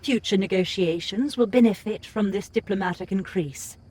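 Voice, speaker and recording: An impassive game announcer, voiced by a woman for a fantasy audiobook. The voice is husky and medium in pitch, delivering information in a measured, formal way. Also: a little mellow, natural voice. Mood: neutral, informative. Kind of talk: scripted audiobook game notifications.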